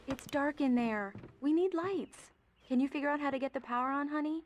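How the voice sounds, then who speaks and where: A woman playing an affectionate, slightly anxious wife in a game, talking gently and naturally. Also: high voice, a little fast, lo-fi sound, a little hiss or hum, natural voice.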